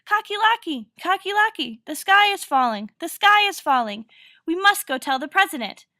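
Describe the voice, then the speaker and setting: Woman doing a shrill, panicky little hen. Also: big pitch swings, normal speed, pushed and bright, no echo, studio quality, full character voice. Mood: panicked. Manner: shrill, repetitive exclaiming. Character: panicky, dim-witted female chicken in a storybook.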